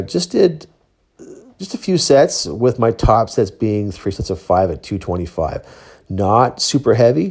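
Male, around fifty, low and very mellow voice, casual vlog narration, matter-of-fact and self-critical athlete. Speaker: athlete vlogger, Highland games thrower. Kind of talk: casual training vlog voice-over.

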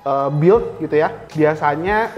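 A man's voice: fairly high pitch, measured pace, a little mellow. A young Indonesian man giving casual explanations as an interview guest, informally mixing in English tech terms. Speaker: interview guest, cyber security engineer. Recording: reverberant hall sound, faint music.

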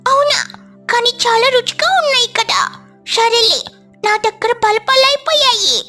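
A woman voicing a small, chatty, greedy girl sparrow for a children's cartoon story, in a squeaky childlike voice. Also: moderate pace, sharp tone, soft background music. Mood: delighted, curious.

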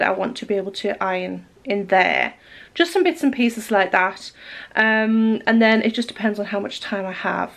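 A woman in her forties, a bit croaky, chatting casually to camera in her living room about her day and her knitting, with lots of ums. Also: medium pitch. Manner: chatty, rambling with many ums. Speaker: knitting vlogger. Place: living room at home, indoors. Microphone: camera close in front.

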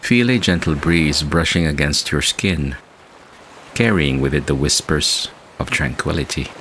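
Very deep-voiced man guiding a meditation. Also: a bit bright, a little hiss or hum, low-quality recording. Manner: soothing, measured, with long pauses. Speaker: meditation guide and podcast host. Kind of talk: scripted guided meditation narration. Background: background music.

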